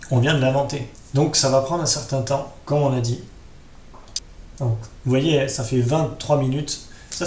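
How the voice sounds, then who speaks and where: A man giving an informal step-by-step screen-share tutorial, talking directly to his viewers. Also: mid-range voice. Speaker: tutorial host. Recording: roomy echo with clear background noise.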